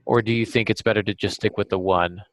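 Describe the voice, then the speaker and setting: A man hosting a casual podcast discussion about a craft, pausing often as he picks his words. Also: lowish voice, fairly even intonation, no echo. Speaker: podcast host and novelist.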